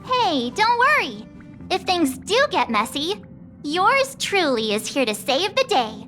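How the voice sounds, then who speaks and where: A woman's voice: very high-pitched, sing-song, takes it slowly, pushed and bright, faint music behind, exaggerated voice. An actress voicing a cheerful, cocky young woman adventurer who talks in a bubbly, playful and boastful way.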